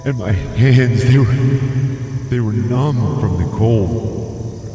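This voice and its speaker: A man playing a weary survivor, halting and grim as he recounts an ordeal in a horror audio drama. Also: lowish voice, dark tone, a little hiss or hum. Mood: grim, haunted. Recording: echoey hall with crowd murmur.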